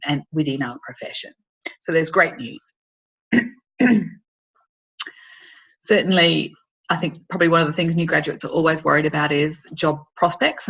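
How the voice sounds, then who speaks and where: A middle-aged woman with an Australian accent, an occupational therapist giving a webinar, her voice slightly croaky from hay fever, explaining in a measured way with fillers. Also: deep voice, a bit bright. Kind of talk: presenting a professional webinar. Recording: dull lo-fi webinar audio.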